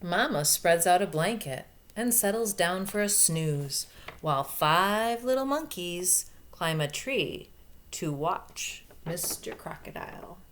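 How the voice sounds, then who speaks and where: Female storybook reader for young kids: lowish pitch, very unhurried, expressive asides and questions, noisy recording. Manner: expressive, explains and asks questions. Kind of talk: read-aloud to young children with asides.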